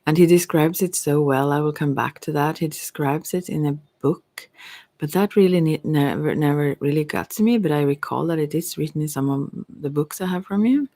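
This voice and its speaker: Creaky asmr host, androgynous, close dry sound, kinda rambling and thoughtful. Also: mid-range voice, normal speed, dark tone, no room sound. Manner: hesitant, meandering, reflective. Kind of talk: ASMR, rambling personal talk.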